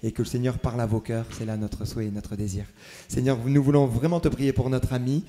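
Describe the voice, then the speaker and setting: A man leading a church service, giving warm welcomes, announcements and prayers with a hesitant, pausing delivery. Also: medium pitch, fairly even intonation, a little hiss or hum. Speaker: church leader welcoming the congregation.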